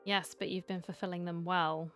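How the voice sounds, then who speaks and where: A woman's voice: on the low side, steady tone, normal speed, a bit bright. A woman doing a casual live game reaction as a streamer. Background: faint game music.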